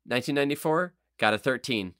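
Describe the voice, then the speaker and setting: A man co-hosting a podcast in casual, animated, sweary conversation. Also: mid-range voice, fast talker, dry recording, clean studio sound. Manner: animated, profane. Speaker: podcast co-host. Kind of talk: casual chat.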